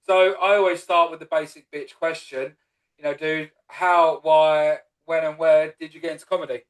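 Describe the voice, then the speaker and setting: Cockney guy hosting a pod, pretty high voice, swears a lot, calls everyone brother. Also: steady tone, moderate pace, poor audio. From East London. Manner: swears freely, calls guest brother and man. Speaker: podcast host. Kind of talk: casual interview chat.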